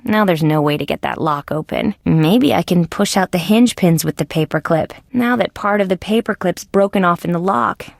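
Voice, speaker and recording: A woman's voice: lowish voice, normal speed, vocal fry, hardly any pauses, no room sound, clean studio sound. A woman voicing a tough, wisecracking female insect police detective in a video game, with a wry, sardonic delivery. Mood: matter-of-fact. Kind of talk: scripted game dialogue, detective banter.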